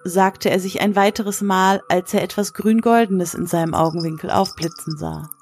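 A woman narrating a scripted story in a measured, literary reading style. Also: lowish voice, fairly even intonation, a little mellow, soft background music.

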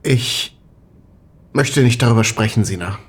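A man acting a brooding, burdened character in an intimate audio spy drama, reflective and halting. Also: on the low side, slightly rough. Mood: guarded.

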